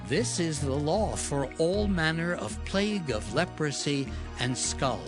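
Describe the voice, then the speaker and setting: A man reading scripture as a narrator in a measured, formal way. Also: fairly high pitch, animated. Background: background music.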